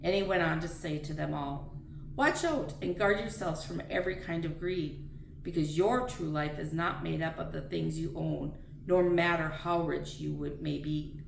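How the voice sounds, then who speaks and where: Echoey, creaky low-voiced woman reading scripture. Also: normal speed. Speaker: reader of daily scripture readings. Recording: roomy echo, distant mic, faint noise.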